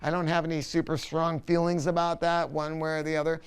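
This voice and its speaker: Higher-pitched, clear-voiced man reviewing gear. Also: completely flat delivery, brisk. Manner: folksy, colloquial, emphatic salesman's delivery. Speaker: audio shop presenter reviewing a loudspeaker. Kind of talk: casual product review monologue.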